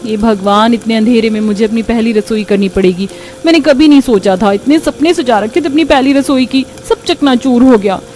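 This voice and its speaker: Woman acting a high-pitched, plaintive young bride. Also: moderate pace, a little mellow, slight background noise, lightly acted. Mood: distressed, plaintive. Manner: plaintive, exclaiming. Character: distressed young new bride, anxious and plaintive.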